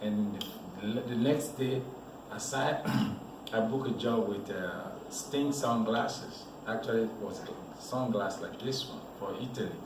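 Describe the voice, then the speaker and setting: Male model from Cameroon, interview guest; low voice, loose storyteller, distant mic, roomy and noisy. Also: a little slow. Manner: relaxed, rambling storyteller with restarts. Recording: echoey room, voice from a distance, noisy background.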